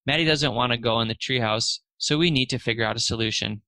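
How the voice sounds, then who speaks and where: A man's voice: medium pitch, quick pace, sharp tone, dry recording. A man narrating a children's storybook aloud, expressively lifting his voice for the dialogue.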